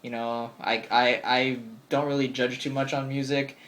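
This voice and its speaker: A man's voice: medium pitch, level tone, normal speed, a bit bright. A man of twenty answering viewer questions in a casual vlog, chatty and upbeat, full of ums and likes. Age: 20s. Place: bedroom with game shelves.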